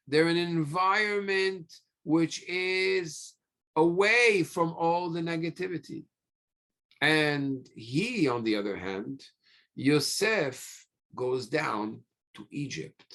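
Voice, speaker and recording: Slow-paced, creaky-voiced male rabbi. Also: on the high side, a bit bright. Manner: emphatic, pausing often, repeats phrases and asks rhetorical questions. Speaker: rabbi giving a religious lecture. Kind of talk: sermon, teaching through scripture stories.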